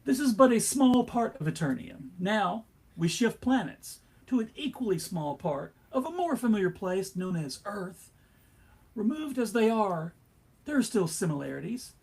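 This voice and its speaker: Husky, animated, wry comic narrator. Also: mid-range voice, moderate pace. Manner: wry, theatrical. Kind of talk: scripted comedic narration.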